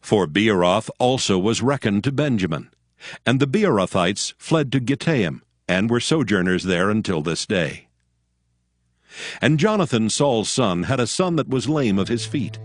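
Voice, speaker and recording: A man narrating a dramatized Bible in a measured, solemn storytelling style. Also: fairly low pitch, very animated, no room sound, studio quality.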